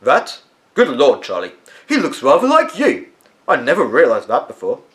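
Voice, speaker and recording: A man's voice: medium pitch, huge pitch swings, moderate pace, a bit bright, voice pushed up. A man voicing a weary, tetchy but kindly eccentric uncle from a storybook, musing and emphatic in his delivery. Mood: emphatic, reminiscing. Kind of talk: storybook character voice.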